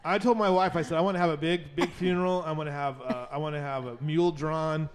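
Chatty higher-voiced man hosting a talk show. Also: fairly even intonation, fast talker, a crowd in the background. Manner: chatty, laughs easily, restarts sentences. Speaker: podcast host. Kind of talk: casual interview chat.

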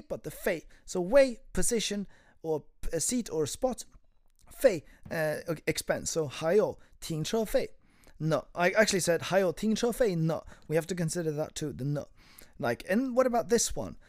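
A woman teaching Mandarin with a lively, swooping voice that rises and falls a lot. She breaks words down piece by piece, moving between English and Chinese. The sound is dry and close, with no room echo. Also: fairly low pitch. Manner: explains word by word, switching between English and Chinese. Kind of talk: bilingual language lesson chat.

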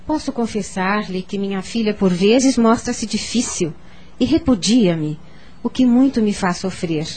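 A woman's voice: mid-range voice, measured pace, darkish tone, breathy edge. An actress with a Brazilian accent playing a humble, loving, sorrowful mother in a radio drama, tender and polite.

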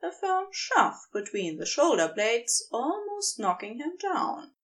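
A woman reading a scripted story aloud as narrator, with expressive, measured storytelling. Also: fairly high pitch, far from the mic. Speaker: podfic reader.

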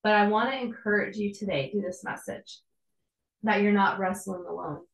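Young woman, slightly hoarse, encouraging conversational preacher, roomy echo. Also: medium pitch, fairly even intonation, normal speed. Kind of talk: devotional sermon.

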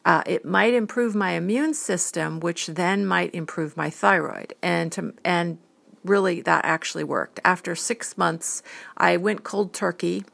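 A woman's voice: fairly low pitch, measured pace, creaky, fairly bright tone. A woman in her fifties, an art model, talking earnestly in a rambling casual monologue, listing things one by one. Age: 50s.

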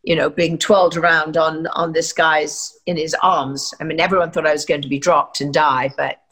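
A woman's voice: deep voice, fairly even intonation, normal speed, fairly bright tone, slightly rough. Older woman actress, chatty and rambling. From England. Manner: chatty, rambling with many fillers and restarts. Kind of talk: interview answers.

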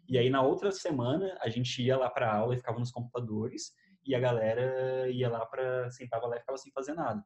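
A young Brazilian man with a medium-pitched voice talks at a rapid clip. He recounts memories casually, with animated delivery and plenty of slang. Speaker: host. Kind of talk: casual chat, telling memories.

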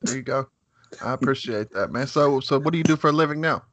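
A man in his thirties raised in West Virginia, hosting a podcast with a nervous, halting manner and lots of "man" and "you know what I'm saying". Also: mid-range voice, fairly bright tone, muffled recording. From West Virginia, USA. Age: 30s. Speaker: podcast host. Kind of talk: casual interview.

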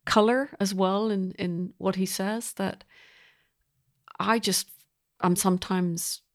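A middle-aged woman being interviewed about her work as a composer, speaking reflectively in measured, considered phrases. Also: mid-range voice.

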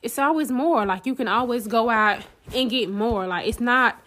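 Encouraging woman with a higher voice. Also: moderate pace, a bit bright. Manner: encouraging, pep-talk tone. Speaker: podcast co-host. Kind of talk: casual chat.

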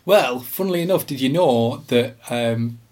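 A man's voice: medium pitch, moderate pace. Camp young man. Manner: camp, giggly, animated storyteller. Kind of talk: casual chat.

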